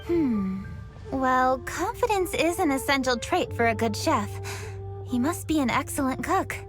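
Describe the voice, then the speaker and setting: Serious young woman in a game, calm and thoughtful, a bit high-pitched, music playing under her. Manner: composed, thoughtful and measured. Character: earnest, driven young woman, reflective. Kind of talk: video game cutscene dialogue.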